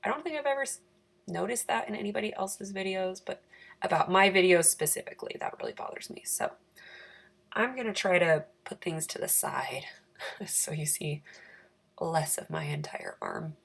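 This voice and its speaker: A woman with a raspy, croaky voice giving a chatty, self-deprecating, casual craft voice-over for her planner channel. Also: fairly low pitch, very animated, normal speed, fairly bright tone.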